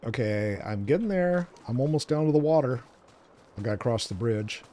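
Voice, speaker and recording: A man's voice: medium pitch, expressive, moderate pace, dark tone. A man co-hosting a gaming show, chatting casually and thinking aloud as he plays. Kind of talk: casual gameplay chat.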